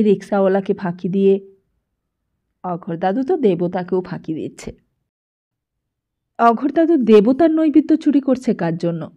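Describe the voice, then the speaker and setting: A woman audiobook narrator reading literary fiction expressively, on slightly dull, lo-fi audio. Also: mid-range voice.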